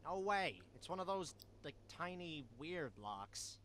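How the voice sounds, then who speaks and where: A man plays a whiny, nervous cartoon animal scavenger. His high voice swings widely, full of hesitation and exasperated swearing. There is clear background noise behind him. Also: sing-song, a little slow, a bit bright. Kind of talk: cartoon game voice acting.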